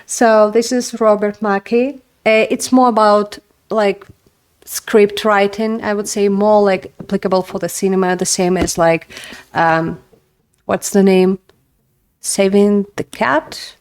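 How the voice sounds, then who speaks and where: Young adult woman, Russian first language; relaxed, rambling video host tone, filler-heavy. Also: medium pitch, slow, smooth. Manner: chatty, filler-heavy, frequent "like". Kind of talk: casual book review to camera.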